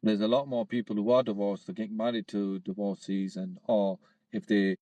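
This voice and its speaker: A man hosting a casual podcast discussion, whose first language is Urdu, talking quickly and acting out other people's voices as he makes his points. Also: fairly low pitch, no echo. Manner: quick, animated, acts out quoted voices. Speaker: podcast host.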